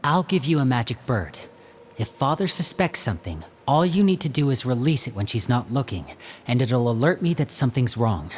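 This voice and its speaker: Guy voicing a smooth game magician, lively up-and-down voice, protective big brother vibe. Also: mid-range voice, a little fast, a little hiss or hum, lightly acted. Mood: planning. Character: young male stage magician, playful and protective. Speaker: older brother planning a rescue. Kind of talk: video game dub acting.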